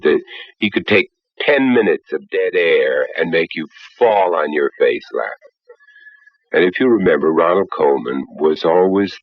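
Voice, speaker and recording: Older male raconteur, low husky voice, animated storytelling, dull archive sound. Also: no room sound. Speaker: actor telling an anecdote. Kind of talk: informal storytelling. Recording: dull, dry archive recording.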